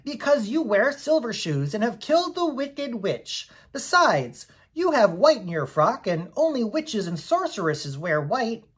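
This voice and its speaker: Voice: medium pitch, very animated, a little slow, hardly any pauses, breathy edge, voice pushed up. A performer voicing a rich, friendly Munchkin man, grave and courteous, in a storybook reading. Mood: friendly, impressed.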